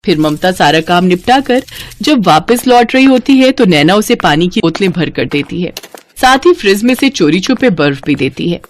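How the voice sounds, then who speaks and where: A woman's voice: lowish voice, animated, moderate pace. A woman storyteller reading a scripted moral story as narrator.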